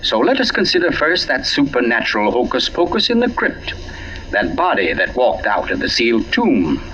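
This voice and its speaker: An actor playing an urbane, pompous gentleman writer turned sleuth in a 1940s radio mystery, teasing and deliberate in his delivery. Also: medium pitch, huge pitch swings. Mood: grandly self-assured. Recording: dull lo-fi old radio broadcast.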